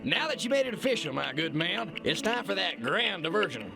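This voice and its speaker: An actor playing a swaggering, pompous kingpin and demagogue in a satirical audio play. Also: male voice, sits high, very animated, a little fast, gravelly, over music, full character voice. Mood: smug. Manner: pompous, grandiose speechifying.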